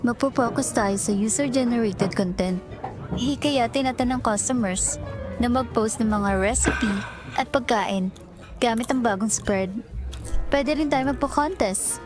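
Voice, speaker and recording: A woman's voice: fairly high pitch, measured pace, over music, close to an everyday voice. A woman playing an eager young employee with a Filipino accent in a romantic audio drama, polite to her boss and secretly smitten. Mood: earnest, professional. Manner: polite and deferential, with flustered girlish asides. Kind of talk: romantic audio drama acting, Tagalog-English mix.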